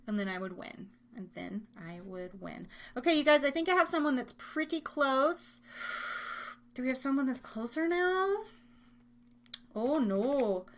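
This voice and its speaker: High-voiced, playful American woman hosting live trivia. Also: expressive, measured pace. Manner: playful, chatty, giggly asides to listeners. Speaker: live show host running a trivia game. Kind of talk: casual live-stream chat.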